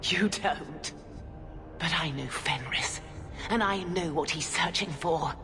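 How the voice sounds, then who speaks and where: A woman's voice: low voice, takes it slowly, sharp tone, faint music behind, full character voice. Haughty female mage captor; cool bargaining tone, reverberant game audio. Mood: scheming. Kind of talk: video game voice acting.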